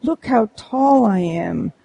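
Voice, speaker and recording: A woman's voice: medium pitch, animated, takes it slowly, creaky, dark tone, breathy edge, full character voice, low-quality recording. Alice, a woman reading a curious young girl. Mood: earnest.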